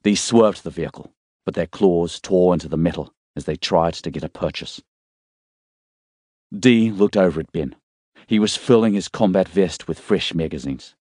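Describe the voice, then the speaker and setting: A man's voice: deep voice, normal speed, darkish tone, dry recording, clean studio sound. A man reading scripted fiction as a dramatic audiobook narrator.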